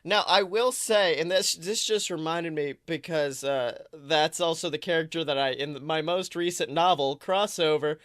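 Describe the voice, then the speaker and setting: A man co-hosting a podcast, chatting casually about films in an animated, rambling way with frequent swearing. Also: fairly high pitch, normal speed, hardly any pauses. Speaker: podcast co-host.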